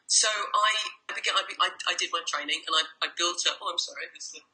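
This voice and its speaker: A woman's voice: lowish voice, fast talker, sharp tone, low-quality recording. A woman with an English accent, a guest life coach chatting casually in an interview over a call, with many restarts. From Ireland. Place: home in a noisy city.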